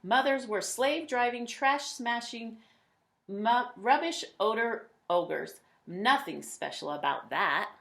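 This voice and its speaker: A woman reading a children's picture book aloud, with dramatic emphasis and drawn-out words. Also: a little high, animated, vocal fry, a bit bright. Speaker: read-aloud storyteller.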